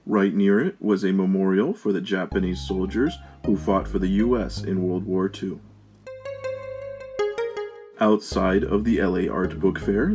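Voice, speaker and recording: Guy with a deep voice doing travel vlog voiceover, bit of guitar music under it. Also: a little mellow. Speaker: vlog host and narrator. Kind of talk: scripted travel vlog narration.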